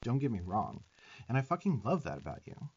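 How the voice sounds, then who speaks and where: A sarcastic little demon twink, played by a man, bosses the listener around with affection in an ASMR roleplay. His mid-pitched young voice swings up and down with sassy emphasis and blunt swearing. The sound is a little dull. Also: wildly swooping pitch, fairly quick, vocal fry, a little mellow, slightly rough, heavily acted. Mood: sassy, affectionate. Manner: sassy, emphatic, profane tough-love delivery.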